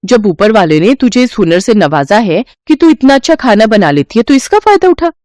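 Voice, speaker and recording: An effusive neighbour woman from a storybook tale played by a woman narrator. She is friendly and emphatic, pushing her advice with enthusiasm, her pitch often lifting high. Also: very animated, normal speed, darkish tone, no room sound, studio quality. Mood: delighted. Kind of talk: animated moral-story dialogue.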